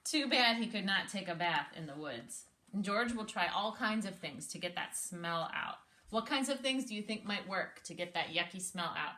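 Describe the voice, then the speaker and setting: A woman with a bright, clear-edged voice reads a picture book aloud for young listeners. Her delivery is animated and encouraging, and she breaks off to prompt with simple questions. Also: mid-range voice, normal speed. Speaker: read-aloud host, speech therapist.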